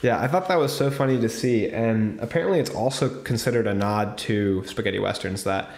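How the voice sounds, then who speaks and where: A man's voice: fairly low pitch, fairly quick, gravelly, a little mellow, reverberant. A man co-hosting a casual movie review podcast, chatting with enthusiasm. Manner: chatty, enthusiastic, lists cast members. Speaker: podcast co-host.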